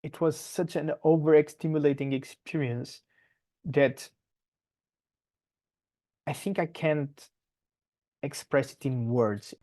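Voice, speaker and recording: A young man from Portugal with a Portuguese accent, giving conversational interview answers in a halting way, searching for words and repeating phrases. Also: on the high side, fairly even intonation, warm tone. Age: young adult. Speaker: podcast guest, polar science PhD student.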